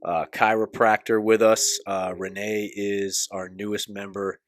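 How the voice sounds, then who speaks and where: A man hosting a casual podcast, asking questions in a loose, laid-back manner. His voice is low and easy. The sound is dry and close to the mic. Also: flat delivery, measured pace, no room sound. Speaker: podcast host.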